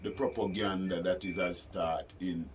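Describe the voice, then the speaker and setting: A man's voice: low-pitched, fairly even intonation, normal speed. A man with a Caribbean accent giving an impromptu interview, explaining his views in an insistent, preaching way and repeating phrases for emphasis. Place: studio, during a phone call. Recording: noisy ambient room recording.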